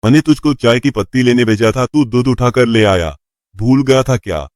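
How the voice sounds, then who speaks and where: A man's voice: medium pitch, moderate pace, a little mellow, dry recording, studio quality, close to an everyday voice. A man playing a blunt but caring young village cousin in a story. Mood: scolding. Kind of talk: storybook character dialogue.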